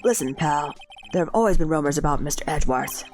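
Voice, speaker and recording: Woman doing a goofy loyal cop guy, says pal a lot, lowish voice, swoopy, kinda stuffy from a cold. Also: animated, fast, darkish tone, faint music behind. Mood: earnest. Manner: folksy, calls people pal. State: sick with a cold.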